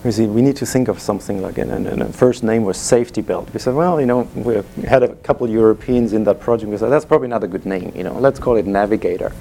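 A man's voice: fairly low pitch, quick pace, gravelly, few pauses, dark tone, slight background noise. A middle-aged man from Germany with a German accent, speaking casually onstage to a live audience as guest speaker, with many "you know" fillers. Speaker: guest speaker, sales executive. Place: event room before a live audience.

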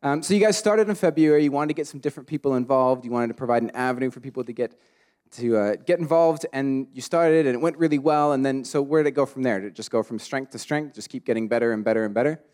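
A man's voice: medium pitch, quick pace, darkish tone. A man interviewing a church leader in a casual, upbeat way.

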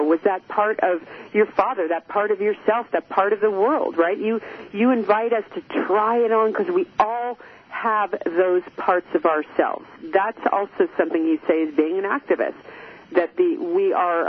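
Male radio host, high pitch, affirming and thinks aloud; phone-quality audio with light hiss. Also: breathy edge. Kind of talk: interview hosting. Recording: tinny phone-line sound with faint hiss.

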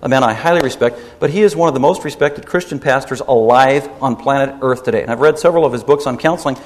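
A man's voice: mid-range voice, fast talker, big-room sound. A man preaching a sermon as pastor, emphatic and rebuking, driving points home by repeating them.

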